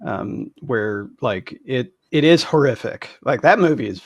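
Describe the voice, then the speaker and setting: A man with a gravelly creak in his voice chats as an interview guest. He phrases things haltingly and deliberately, in a very dry recording. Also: medium pitch, animated, no room sound. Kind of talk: casual anecdotal chat.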